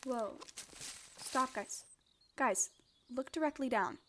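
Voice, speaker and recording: Noisy outdoor recording, teenage girl, higher pitch, eager, observant, excitable, casual drama acting. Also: slow pace. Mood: startled. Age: teens or young adult. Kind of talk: naturalistic audio drama acting.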